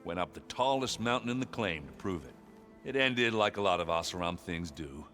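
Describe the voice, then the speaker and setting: A man's voice: deep voice, lively intonation, normal speed, fairly bright tone. A man playing a bluff, good-natured warrior sidekick in a game cutscene, chatty and plain-spoken. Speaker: video game companion character. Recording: music behind the voice.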